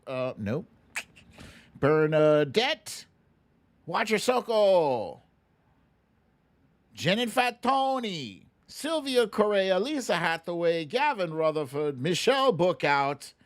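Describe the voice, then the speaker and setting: A man hosting a casual livestream guessing game, chatting playfully and informally straight to his viewers. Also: high voice. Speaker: livestream host running a song-mashup guessing game.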